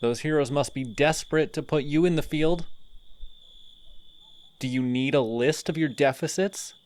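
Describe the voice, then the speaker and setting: A man voicing a sardonic male telepathic villain in an audio roleplay, mocking and deliberate. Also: on the high side, darkish tone, close to an everyday voice.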